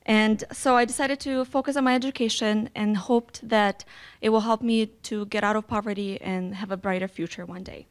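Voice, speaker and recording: A woman's voice: mid-range voice, fairly even intonation, moderate pace, clear, resonant tone. A young woman from western Ukraine, giving a prepared personal speech as a guest, earnest and a little nervous. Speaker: guest speaker.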